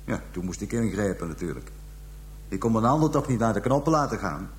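An actor playing a callous pimp making a halting, coarse confession to police in a radio drama. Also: male voice, fairly low pitch, big pitch swings, slight background noise. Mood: cold, self-justifying. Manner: halting, hesitant storytelling, coarse slang. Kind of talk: radio drama acting, interrogation scene.

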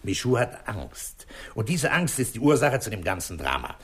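Low-voiced, commanding police commissioner. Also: male voice, big pitch swings, slightly rough. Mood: measured, incisive. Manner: deliberate, authoritative, explanatory. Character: methodical male police commissioner, calm and commanding. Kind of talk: 1960s German radio crime drama acting.

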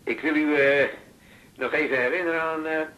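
An actor playing a shy, apologetic patient in a radio drama, halting and deferential as he speaks. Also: fairly high pitch, steady tone, pushed and bright. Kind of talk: naturalistic radio drama acting.

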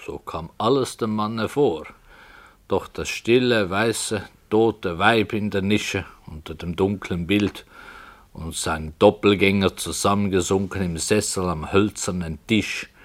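An actor playing a stubborn, calm radio playwright who narrates his dark story with deliberate literary phrasing in a 1960s German radio drama. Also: low voice. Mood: measured. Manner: measured, deliberate.

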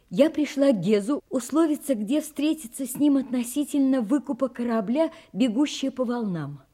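Radio play, female: resolute, mysterious young lady, husky and mellow, calm authority. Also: on the high side. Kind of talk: audio play acting.

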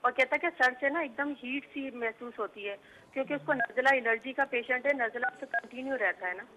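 A worried woman calls a health show about her son and rambles, circling back over the same points. Her voice is bright and a little high. The phone line sounds dull. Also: a little fast. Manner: worried, rambling, repeats herself. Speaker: caller, worried mother.

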